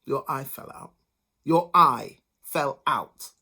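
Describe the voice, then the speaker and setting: A woman voicing a sharp, sarcastic young sister-in-law. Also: mid-range voice, sing-song, a little fast, husky, pitched above the performer's own voice, exaggerated voice. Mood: scornful. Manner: sarcastic, scolding.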